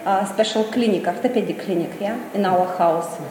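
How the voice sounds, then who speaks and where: A middle-aged woman from Russia with a Russian accent, in halting non-native English that repeats words and restarts, giving a street interview as a boutique owner. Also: medium pitch, background noise. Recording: echoey long reverb, clear background ambience.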